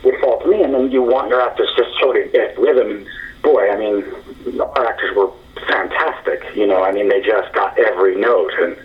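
A man's voice: lowish voice, normal speed, recorded from a distance, dull audio. A man in his forties, a screenwriter being interviewed, talking thoughtfully with many fillers and restarts. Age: 40s. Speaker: screenwriter guest. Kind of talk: interview conversation.

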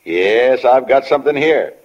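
A man's voice: medium pitch, lively intonation, measured pace, lightly acted. An actor playing a cheery, doting small-town father in a 1940s radio drama, hearty and calling out. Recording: dull old 1940 radio recording.

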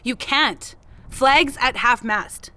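A woman's voice: high-pitched, slow pace, pushed and bright, full character voice. An actress playing an indignant, sardonic woman in a naturalistic audio drama, speaking bluntly and challengingly. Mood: firm, challenging.

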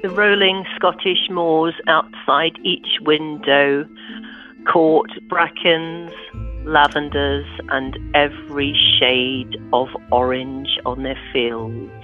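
A woman giving improvised descriptive narration, measured and pausing between phrases. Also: low-pitched, fairly even intonation, soft background music. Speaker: improv comedy podcast performer. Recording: dull, lo-fi sound.

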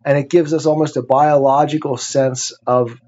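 Guy cohosting a podcast, soft mellow tone, thoughtful intellectual chat, bit lo-fi. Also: mid-range voice, fairly even intonation, normal speed. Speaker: podcast co-host. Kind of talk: casual intellectual discussion.

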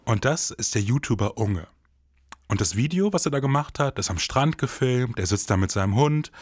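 A man's voice: mid-range voice, lively intonation, normal speed, slight background noise. A man co-hosting an investigative podcast, moving between scripted dialogue and narration.

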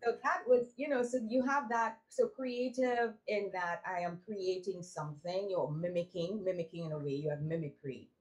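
A woman from Trinidad, a guest telling her life story in a relaxed, reflective way with many restarts. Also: on the low side, moderate pace, hardly any pauses, poor audio. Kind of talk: casual interview conversation.

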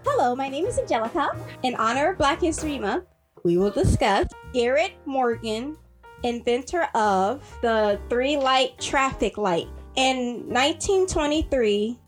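A woman's voice: sits high, lively intonation, a little slow, soft background music. A woman presenting a scripted history segment on a podcast.

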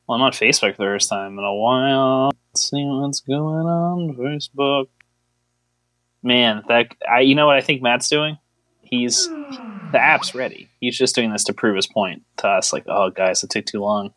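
A man's voice: medium pitch, animated, normal speed, fairly bright tone, dry recording. A man co-hosting a podcast in casual chat, joking, teasing and rambling as he goes. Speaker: podcast co-host.

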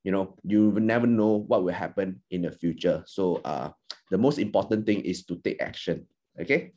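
A man whose first language is Chinese speaks on an online panel in a very low voice. He offers earnest, encouraging advice and restarts his sentences often. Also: moderate pace, a little mellow, muffled recording. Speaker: alumni panellist, professional trainer. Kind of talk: panel discussion answer.

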